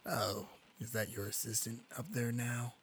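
An actor playing a weary, reluctant retired detective in a noir audio drama, gruff and terse. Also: male voice, mid-range voice, level tone, a little slow, a bit bright, a little hiss or hum.

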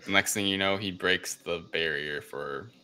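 Very deep, bright-voiced man recapping casually. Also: normal speed, smooth. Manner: rambling, slangy retelling with acted-out quotes. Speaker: podcast co-host. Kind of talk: casual film recap chat.

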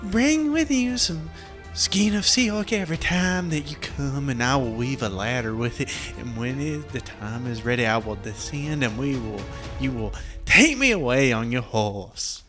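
A man voicing a naive young fairy-tale maiden in a slow, theatrical falsetto. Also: lively intonation, music in the background, natural voice. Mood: earnest, dreamy.

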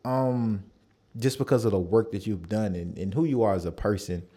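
A man's voice: fairly low pitch, normal speed. Laid-back man hosting a podcast. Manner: relaxed and affirming, with many fillers. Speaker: podcast host. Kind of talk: casual conversational interview.

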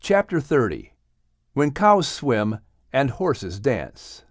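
A man announcing and narrating a children's audiobook in an animated scripted storytelling style. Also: medium pitch, slow pace, no room sound, clean studio sound.

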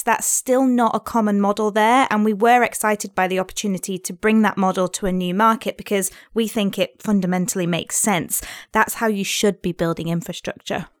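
A woman with a medium-pitched voice reads quoted remarks from a script. The sound is very dry, with no room echo. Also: moderate pace, hardly any pauses. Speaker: reader of quotes. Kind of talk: scripted reading of interview quotes.

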